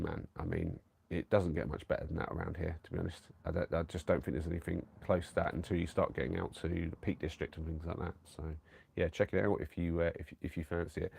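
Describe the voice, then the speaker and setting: A man's voice: low-pitched, creaky, breathy edge, no echo. A man, rambling casually to viewers on a live stream from his camper van, a bit bunged up from a cold. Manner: chatty, rambling, warm to viewers, many fillers and restarts.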